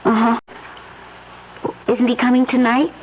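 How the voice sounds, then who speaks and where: An actress in an old-time radio drama playing an affectionate, curious wife who asks gentle, concerned questions. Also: female voice, a little high, flat delivery, unhurried, lightly acted. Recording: tinny, faintly noisy old radio broadcast.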